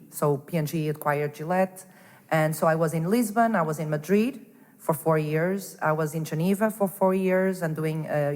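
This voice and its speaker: A woman with a slightly low voice speaks in a lively, unplanned way, restarting her sentences and filling pauses with "you know". She sounds like she is in a reverberant hall with a long echo tail. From Portugal. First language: Portuguese. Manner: animated, restarts often, says "you know" a lot. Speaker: guest, angel investor. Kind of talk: casual interview chat. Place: startup hub space.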